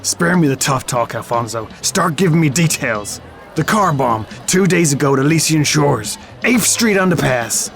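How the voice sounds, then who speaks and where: A man's voice: a little high, animated, normal speed, creaky, music in the background. A man playing a tough, suspicious, confrontational police detective in an audio drama. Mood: hard, threatening. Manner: confrontational, incredulous.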